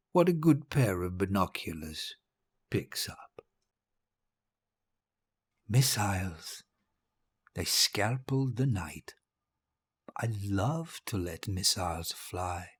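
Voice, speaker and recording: Audio drama lead: grandiose murderous dictator, breathy rasp, theatrical delivery with big swings in pitch, sinking into murmurs. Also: male voice. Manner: pompous, theatrical, savouring words, drifting into murmurs. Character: deposed-feeling murderous dictator facing death, grandiose and bitter.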